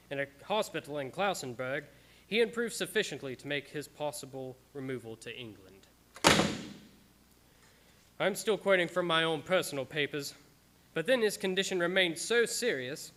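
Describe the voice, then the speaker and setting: An actor playing an earnest, haunted doctor, narrating his grim diary in a formal, measured, theatrical way for a radio play. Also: fairly high pitch, sharp tone.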